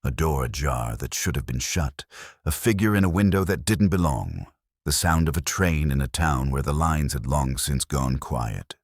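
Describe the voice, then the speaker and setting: A man reading a scripted mystery story in a measured, literary storytelling manner. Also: very deep voice, expressive, no room sound, studio quality. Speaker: narrator.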